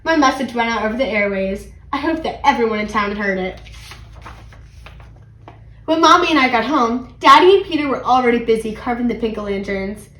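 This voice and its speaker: A woman reading a children's story aloud in an animated, expressive way. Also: on the high side, a little fast, echoey room. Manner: animated, acts out character dialogue. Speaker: read-aloud channel host.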